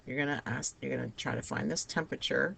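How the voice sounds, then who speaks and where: A woman teaching a math lesson with a raspy, hoarse voice, talking students through each step of the problems. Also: rumbling low, fast talker, fairly bright tone, a little hiss or hum. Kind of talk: recorded math lesson over a digital whiteboard.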